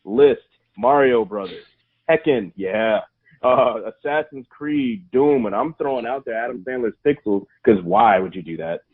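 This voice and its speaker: A man's voice: medium pitch, expressive, unhurried, slightly rough. A man co-hosting a movie podcast, giving dry, grumbling opinions in casual chat. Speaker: podcast co-host. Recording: tinny phone-like line.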